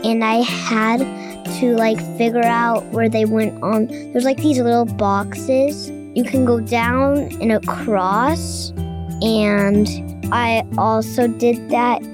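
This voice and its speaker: An eight-year-old child giving a simple, halting review as a podcast segment. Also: mid-range voice. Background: children's music.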